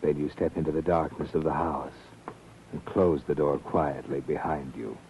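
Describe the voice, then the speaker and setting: Old time radio suspense narrator, really low voice, sardonic and creepy, muffled old recording. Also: male voice, fairly even intonation, a little hiss or hum. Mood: ominous, mocking. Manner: sardonic, taunting, haunting deliberate delivery. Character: ominous, mocking omniscient male narrator of a mystery. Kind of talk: 1940s radio mystery narration, second person.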